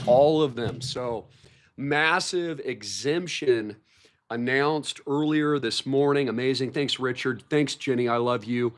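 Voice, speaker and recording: A man hosting a livestream, his voice hoarse from a recent cold, talking in an excited, repetitive way about market news. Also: medium pitch, slight background noise. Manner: hyped, repeats words like massive and shocking. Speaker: livestream host.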